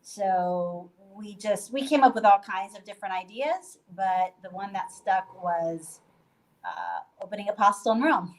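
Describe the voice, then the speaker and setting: A woman's voice: medium pitch, unhurried, rough recording. A woman from Puerto Rico talking casually on a video call from a guesthouse bedroom, loose and chatty with many fillers and restarts. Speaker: guest, hostel owner.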